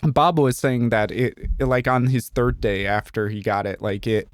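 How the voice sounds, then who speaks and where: A man's voice: mid-range voice, fairly even intonation, normal speed, dry recording. Young man, podcast co-host, rambling chat, lots of "like", dry close mic. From Southern Illinois, USA. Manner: rambling, heavy use of "like" and "um", joking. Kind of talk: casual chat.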